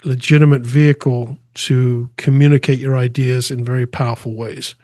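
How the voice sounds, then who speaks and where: Brief: middle-aged man, earnest and halting guest, mid-pitched voice, dry close sound. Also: level tone, dark tone, no echo. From England, raised in Spain. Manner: earnest, halting, with frequent restarts. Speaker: artist and guest. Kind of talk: conversational interview answers.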